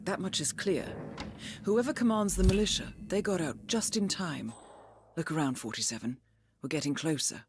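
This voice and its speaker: A low, very expressive female voice for a shrewd intelligence handler in a game cutscene. She is measured and authoritative, directing an operation with composure. There is clear noise behind her. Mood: intent, analytical. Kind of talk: dramatic game cutscene acting.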